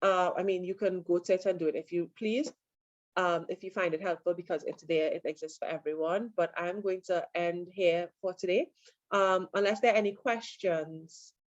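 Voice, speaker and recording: A woman lecturer giving an online class, relaxed and full of fillers as she answers questions. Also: fairly low pitch, moderate pace, dry recording. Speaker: university lecturer. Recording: dull lo-fi online audio.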